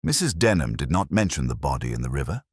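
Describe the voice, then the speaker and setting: A man's voice: rumbling low, very animated, fast, husky, no echo, studio quality, exaggerated voice. A man voicing a cool, relentless detective in a storybook mystery, questioning in a level, precise manner. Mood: level, probing. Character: cool, incisive male detective, relentless and commanding. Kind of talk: audiobook detective story dialogue.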